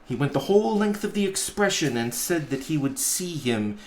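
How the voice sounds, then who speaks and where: A man, a pastor, reading a storybook aloud in an expressive narrating style. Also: fairly high pitch, moderate pace, a little hiss or hum.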